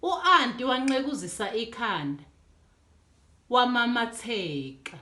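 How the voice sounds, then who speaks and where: A woman reading a children's storybook aloud in an animated way as host. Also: a little high, slow pace. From South Africa. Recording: echoey room.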